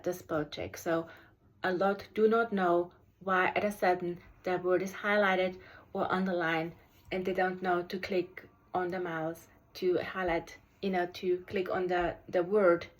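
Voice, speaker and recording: A special education teacher with a German accent, German as her first language, giving an unscripted app review in a halting way with many ums and restarts. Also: lowish voice.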